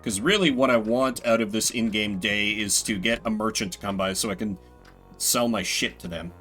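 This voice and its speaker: A man's voice: on the low side, moderate pace, a bit bright, faint music behind. A man playing a video game as a streamer, mixing casual commentary with theatrical readings of the on-screen text.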